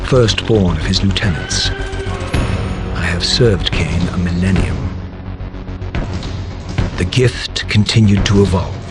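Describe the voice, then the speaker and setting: An actor playing a bitter, proud vampire turned undead wraith in a video game, solemn and theatrical. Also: male voice, low-pitched. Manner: solemn, theatrical, formal archaic diction. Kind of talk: dramatic scripted video game narration. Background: dramatic game score.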